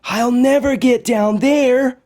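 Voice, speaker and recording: A man's voice: extremely high pitch, fairly even intonation, slow pace, darkish tone, voice pushed up. A man voicing a plucky but worried young girl in a storybook. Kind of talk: storybook character voice.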